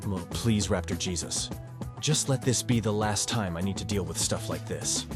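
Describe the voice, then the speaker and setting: A man acts as a tired, socially awkward high-schooler narrating a visual novel in first person. His voice is on the low side, sardonic and self-mocking. Music is clearly audible behind him. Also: normal speed, lightly acted. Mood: grumbling. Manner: glum, sardonic, self-deprecating. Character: weary, cynical, awkward male high-school student narrator. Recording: music under the voice.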